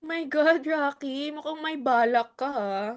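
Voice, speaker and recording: Woman acting a high-voiced, brisk Filipino club leader. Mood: teasing. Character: brisk, civic-minded motherly club leader, teasing. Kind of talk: dramatic reading, Tagalog-English dialogue.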